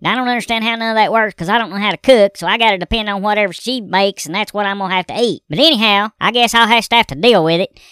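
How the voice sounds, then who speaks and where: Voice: mid-range voice, quick pace, a bit bright, clean tone, dry recording, clean studio sound, close to an everyday voice. A performer voicing a rambling, grumbling Southern redneck husband with a folksy drawl, telling home stories on a podcast. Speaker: recurring comedy character. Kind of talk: comedic character monologue.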